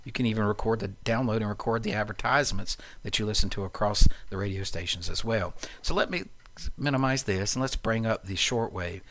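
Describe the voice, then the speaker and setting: Male tutorial presenter, fairly low pitch, informal and unscripted, explains software step by step. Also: fairly quick, slight background noise. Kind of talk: informal screencast walkthrough.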